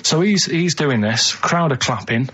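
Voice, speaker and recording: A man's voice: fairly high pitch, expressive, measured pace, fairly bright tone, breathy edge, muffled recording. A man telling a casual, rambling story on the radio in an earnest, hesitant, matter-of-fact way. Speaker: radio show regular.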